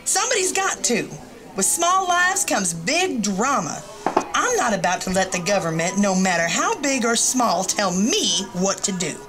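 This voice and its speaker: Woman doing a wisecracking middle-aged waitress, folksy, gossipy, lively sing-song voice, music behind. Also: a little high. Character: sassy chain-smoking small-town diner waitress. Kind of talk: audio drama acting.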